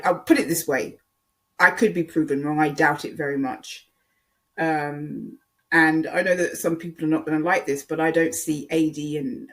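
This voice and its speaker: Someone rambling casually on their channel, medium pitch, kinda hesitant, repeats words to make the point. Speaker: online video creator.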